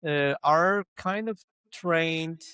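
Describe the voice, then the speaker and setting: A man whose first language is Ukrainian, speaking as an interview guest in a halting way, with many fillers and restarts. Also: on the high side, bright, forceful tone, clean tone, no echo, studio quality. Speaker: guest, localization company founder. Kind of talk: conversational interview.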